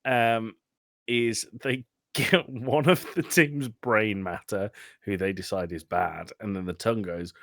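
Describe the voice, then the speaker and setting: A man with a lowish voice hosts a casual film discussion. He is blunt and exasperated and swears freely. The sound is dry, with very little room around him. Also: fairly even intonation, takes it slowly, creaky, a bit bright, no room sound. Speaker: podcast host. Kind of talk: casual film review chat.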